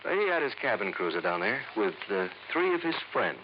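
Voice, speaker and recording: Low, lively American insurance boss. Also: male voice, brisk. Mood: businesslike. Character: businesslike, sly insurance office man handing out assignments. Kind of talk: 1950s radio drama acting. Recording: dull old radio recording, faint noise.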